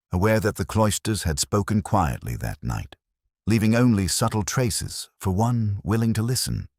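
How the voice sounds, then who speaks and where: A man playing a meticulous, reflective detective, narrating his mystery in measured, deliberate, formal literary phrasing. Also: extremely low pitch, a little mellow, slightly rough, no room sound, clean studio sound, exaggerated voice. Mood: brooding, reflective. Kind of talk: scripted first-person mystery narration.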